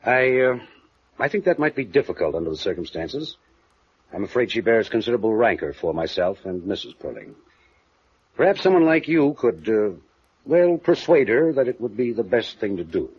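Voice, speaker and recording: A man's voice: fairly low pitch, very animated, normal speed, breathy edge. A man playing a guarded, well-spoken wealthy father in a 1950s radio noir drama, speaking formally and evasively. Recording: muffled vintage radio drama recording.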